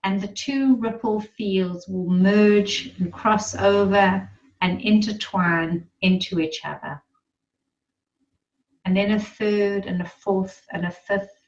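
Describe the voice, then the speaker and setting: A woman leading a guided meditation in a soothing, unhurried manner, with gentle instructions and pauses. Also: mid-range voice, distant mic, lo-fi sound.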